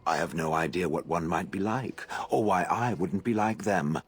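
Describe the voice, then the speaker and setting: A man's voice: sits low, moderate pace, husky. A man voicing a haughty, sardonic stone golem in a game, talking down with dry mockery. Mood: disdainful. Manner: dry, condescending, mocking. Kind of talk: game dialogue.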